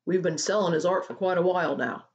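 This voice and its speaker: A woman's voice: lowish voice, quick pace, highs cut off, lightly acted. A woman voicing a brash, hot-tempered, opportunistic male boss in a storybook. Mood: smug, glib. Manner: brash, glib.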